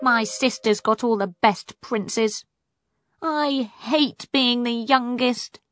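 A woman's voice: medium pitch, steady tone, slow pace, over music. A woman voicing a petulant, dramatic young princess with a whiny, indignant manner in a storybook. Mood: wailing, sulky.

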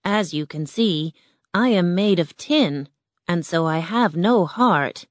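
Narrator doing a kind tin man, calm, plain, slow delivery, dry sound. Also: mid-range voice, dry recording. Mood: gentle. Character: gentle man made of tin.

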